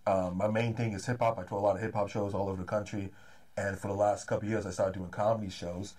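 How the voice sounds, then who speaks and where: Fast-talking man in his thirties, low voice. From Los Angeles area, California. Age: 30s. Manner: fast, energetic, repeats "man" often. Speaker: comedy and hip-hop promoter, guest. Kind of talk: casual interview chat.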